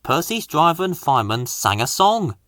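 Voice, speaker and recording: A man's voice: a little high, sing-song, moderate pace, fairly bright tone, no echo. Male narrator for children's story, hugely varied intonation, dry sound.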